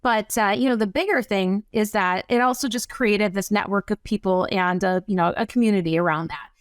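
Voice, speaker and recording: A woman's voice: medium pitch, smooth, dry recording, studio quality. Chatty middle-aged woman with a Wisconsin accent. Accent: northern Wisconsin. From northern Wisconsin. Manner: chatty and enthusiastic, fast runs of words. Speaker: podcast guest, dairy coach. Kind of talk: casual interview chat.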